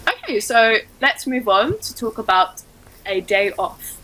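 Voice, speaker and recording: English lady asking test questions, bright voice, friendly. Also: fairly high pitch, big pitch swings, measured pace, a little hiss or hum, low-quality recording. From England. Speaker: examiner. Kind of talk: mock speaking test.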